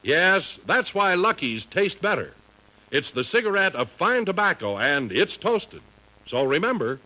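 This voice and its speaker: A man's voice: high-pitched, very animated, a little slow, pushed and bright. A man working as a 1950s radio announcer, reading scripted sponsor copy with an emphatic, polished salesman's delivery. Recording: muffled old radio recording.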